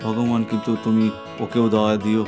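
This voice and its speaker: A man voicing a kind, pious dosa stall keeper with an earnest, polite manner in an animated folk tale. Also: lowish voice, brisk, over music, lightly acted.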